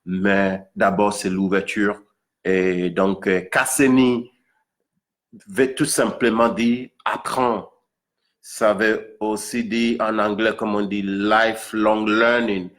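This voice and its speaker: A man from Accra with a Ghanaian accent and Ga as his first language, explaining things informally to an audience, haltingly and repeating words for emphasis. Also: on the low side, level tone, a bit bright. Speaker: musician presenting own single.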